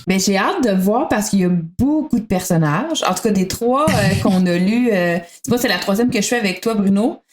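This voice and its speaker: A woman's voice: mid-range voice, animated, moderate pace, few pauses, slight background noise. A woman with a Quebec French accent chatting casually as a podcast guest. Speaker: podcast guest and dramatization reader. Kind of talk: casual chat.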